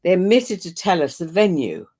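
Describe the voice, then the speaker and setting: A woman's voice: lowish voice, big pitch swings, moderate pace, breathy edge. An older woman hosting a webinar conversation, telling stories and laughing easily as she talks. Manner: warm storytelling with frequent laughs. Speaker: host.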